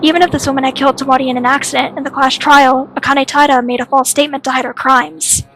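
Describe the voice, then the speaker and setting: A woman's voice: high voice, steady tone, fast, fairly bright tone. Woman playing a stern, accusing student leader. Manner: stern, argumentative. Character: cold, accusing, uncompromising young student leader.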